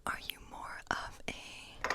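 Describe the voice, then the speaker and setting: A woman whispering an ASMR fantasy role play as a friendly, playful witch, with a breathy, gentle, coaxing manner. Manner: gentle, coaxing, slow and soothing. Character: friendly, playful, lonely witch living in a woodland cottage. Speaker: ASMR creator.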